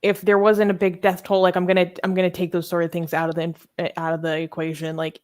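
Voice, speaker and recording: A young woman co-hosting a live Q&A chat, thinking aloud and hesitant, with many ums. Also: lowish voice. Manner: thoughtful, hesitant, lots of ums and laughs. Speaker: co-host.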